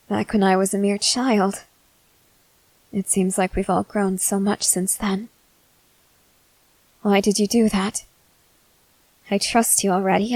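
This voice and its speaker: A woman playing a guarded young female soldier, blunt but softening, in halting roleplay ASMR. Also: medium pitch, no echo, low-quality recording. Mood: surprised, puzzled. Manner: halting, hesitant, earnest delivery.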